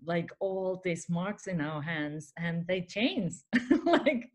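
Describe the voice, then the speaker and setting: A woman's voice: lowish voice, big pitch swings, slow. A woman from Finland with a Finnish accent, talking earnestly as a podcast guest and laughing often. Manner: earnest, laughs often, non-native phrasing. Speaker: guest, spiritual mentor. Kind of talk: casual podcast interview.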